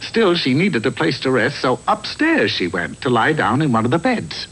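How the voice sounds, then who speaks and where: A man's voice: mid-range voice, sing-song, normal speed, a bit bright, breathy edge, full character voice, low-quality recording. A man narrating a comic fairy tale in a wry, theatrical storyteller's manner, from a script.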